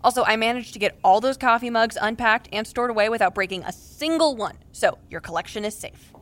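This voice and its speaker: A woman's voice: fairly high pitch, fairly quick, fairly bright tone, close to an everyday voice. A woman playing a blunt, protective best friend in an audio drama, with a direct, casual and insistent delivery. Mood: breezy. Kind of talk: naturalistic audio drama acting.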